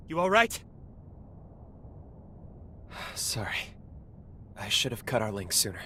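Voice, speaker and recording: An actor voicing a guilt-ridden, reckless young male fighter, speaking earnestly and quietly. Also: lowish voice, a little hiss or hum, exaggerated voice. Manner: earnest, subdued, confessional. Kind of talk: video game voice acting. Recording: game cutscene with music underneath.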